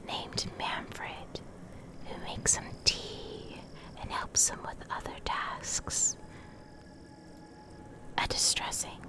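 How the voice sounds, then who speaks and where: Female ASMR reader, fully whispered, unhurried pace, gentle careful delivery, close condenser mic. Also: a little high, low-quality recording. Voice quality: soft, breathy, unvoiced whisper. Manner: gentle, measured, careful reading. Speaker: ASMR creator, video host and reader. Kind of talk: whispered ASMR reading of game lore. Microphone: close USB condenser microphone.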